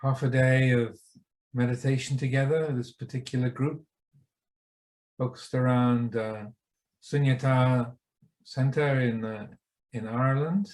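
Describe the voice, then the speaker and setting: A man leading a guided meditation as a teacher, speaking in short, unhurried phrases with pauses between them. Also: medium pitch, fairly even intonation, darkish tone, poor audio.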